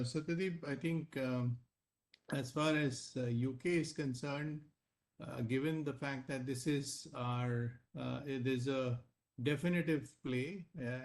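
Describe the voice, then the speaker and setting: A man speaking as a finance executive, answering analysts formally in measured, halting phrases. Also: mid-range voice, a little mellow. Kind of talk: answering analyst questions on an earnings call.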